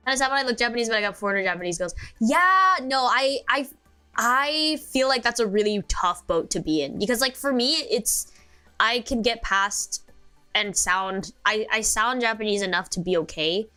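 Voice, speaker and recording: A woman's voice: on the high side, animated, normal speed, bright, forceful tone, clean tone, soft background music. A young American woman streaming, chatting casually and sleepily, rambling with many fillers and restarts. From United States.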